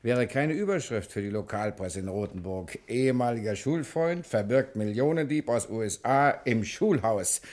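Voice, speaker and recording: An actor playing a genial, quick-witted fugitive rogue in a radio comedy drama, jaunty, chatty and bossy. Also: male voice, medium pitch, lively intonation, darkish tone, lightly acted. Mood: easygoing, wry.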